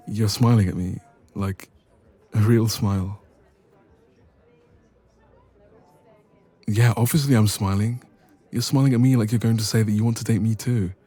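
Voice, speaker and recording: Man acting as affectionate young male best friend for romance audio roleplay; dark, relaxed, lowish voice, hesitant, intimate, gently teasing. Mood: playful, affectionate.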